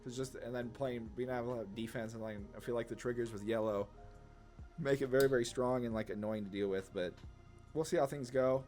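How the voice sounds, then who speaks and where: A man with a mid-pitched voice talks casually through a game as he plays. He rambles informally, reasoning out each move aloud. Music plays behind him. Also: steady tone, a little fast, darkish tone. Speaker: gaming content creator, player. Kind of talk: casual gameplay commentary, thinking aloud.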